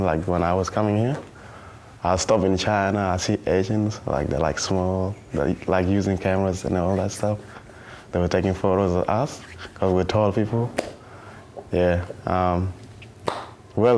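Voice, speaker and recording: A young man of nineteen speaks in a low, level voice. He talks haltingly, with many fillers and restarts. Faint noise sits behind him. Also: darkish tone. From South Sudan. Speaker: documentary interviewee. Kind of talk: personal testimony in a youth documentary.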